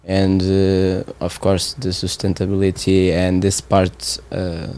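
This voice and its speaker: A man in his twenties with a Portuguese accent, speaking English as a second language in a casual conversation, halting and searching for words with many fillers. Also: deep voice, monotone, darkish tone. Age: 20s. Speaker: training participant.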